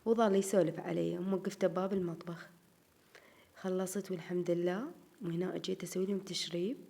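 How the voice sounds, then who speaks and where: Iraqi woman, home vlog voice-over; tired, lowish warm tone, rapid chatty delivery. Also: steady tone, creaky. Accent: Iraqi Arabic. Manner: chatty, addresses viewers as girls. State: tired, slightly strained voice. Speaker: home vlogger. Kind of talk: casual voice-over narration of a home routine.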